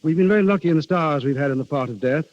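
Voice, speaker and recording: A man speaking as a guest writer in a scripted 1930s radio interview, formal and measured. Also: on the high side. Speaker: guest playwright. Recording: muffled 1930s radio broadcast.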